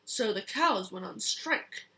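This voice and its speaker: A young child reading a picture book aloud in a deliberate, expressive way. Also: medium pitch, low-quality recording. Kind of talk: storybook read-aloud.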